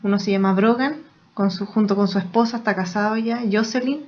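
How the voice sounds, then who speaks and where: Woman, Chilean accent, missionary guest, calm explanatory answers, mid pitch, muffled lo-fi audio. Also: fairly quick. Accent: Chilean Spanish. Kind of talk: interview answers. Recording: dull lo-fi sound.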